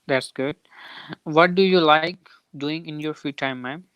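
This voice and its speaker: A man from Karachi, a student speaking politely and haltingly on a video call. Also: on the high side, fairly bright tone, smooth, no echo. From Karachi, Pakistan. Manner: polite, halting, says ma'am. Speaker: student on a live class.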